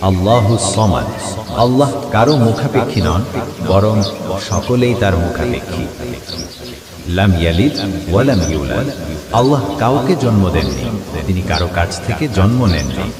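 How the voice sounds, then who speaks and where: Man playing cartoon village imam, low pitch, lively intonation, gentle teacher, patient and encouraging. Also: moderate pace, a loud crowd, natural voice. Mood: earnest, instructive. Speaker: imam teaching children a surah. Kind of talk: scripted cartoon dialogue and Quran recitation.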